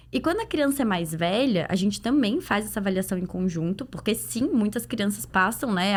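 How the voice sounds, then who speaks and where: A woman with a Brazilian Portuguese accent answering in a podcast interview as a clinician guest, explaining things conversationally with illustrative examples. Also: medium pitch. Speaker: guest, pediatric dental clinician.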